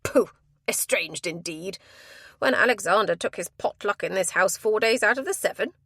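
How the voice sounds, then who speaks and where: A woman voicing a blunt, scornful older mother who talks loudly in coarse, ungrammatical speech. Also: mid-range voice, expressive, moderate pace, husky, no echo, studio quality, full character voice.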